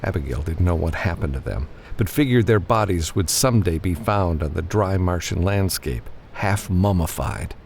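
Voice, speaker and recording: Deep, expressive male audiobook narrator. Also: normal speed, creaky, hardly any pauses, slight background noise. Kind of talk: dramatic scripted fiction narration.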